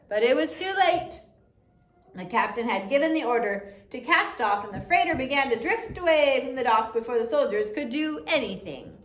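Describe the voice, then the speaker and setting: A woman reading a biography aloud as an audiobook narrator, with an expressive, deliberate storytelling delivery. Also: high voice. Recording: roomy echo with faint background noise.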